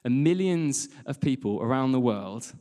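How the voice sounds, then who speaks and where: A man's voice: medium pitch, lively intonation, moderate pace, warm tone. A British man giving an informal sermon in a chatty, jokey way, speaking as a church worship leader and engaging his listeners with questions. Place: large church hall in front of a congregation. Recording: reverberant hall, live church talk.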